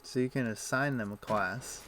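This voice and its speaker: A man's voice: mid-range voice, moderate pace, a bit bright. A man casually chatting and thinking aloud while he plays a game on a live stream. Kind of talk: casual chat while playing. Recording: noisy home stream audio.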